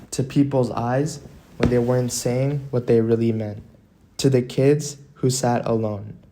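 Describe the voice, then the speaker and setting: A man narrating a scripted motivational monologue in an earnest, deliberate way, leaving dramatic pauses between phrases. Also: medium pitch, mellow.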